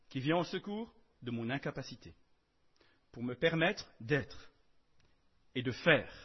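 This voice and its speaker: A man preaching a sermon in French, emphatic, swinging between loud declarations and soft asides. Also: mid-range voice, breathy edge, muffled recording.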